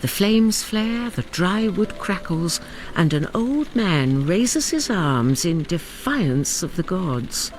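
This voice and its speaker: A woman narrating a radio drama in a measured, solemn, scripted storytelling manner. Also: lowish voice, very animated, a little mellow, a little hiss or hum, rough recording.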